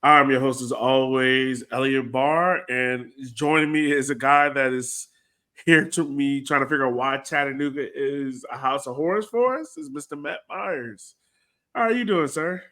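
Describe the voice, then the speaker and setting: A man's voice: medium pitch, moderate pace, sharp tone. American man hosting a casual sports podcast. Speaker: podcast host. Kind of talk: casual sports fan discussion.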